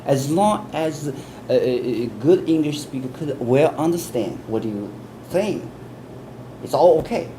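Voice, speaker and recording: Man, Chinese accent, interview answers, earnest, repeats and restarts, steady hum in background. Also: medium pitch, unhurried. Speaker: interviewee, English test expert. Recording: air conditioning hum in background.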